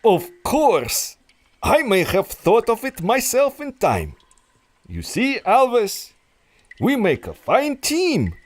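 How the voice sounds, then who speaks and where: A man's voice: sits high, wildly swooping pitch, slow, full character voice. An actor playing a suave, scheming male villain who speaks in a condescending, theatrical way. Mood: smug.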